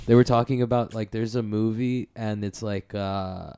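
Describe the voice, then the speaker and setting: A man's voice: on the low side, fairly even intonation, normal speed, warm tone, clear, resonant tone. A man co-hosting a casual podcast, rambling with lots of "like" and "uh" fillers. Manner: rambling, heavy use of "like" and "uh". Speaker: podcast co-host. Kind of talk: casual chat.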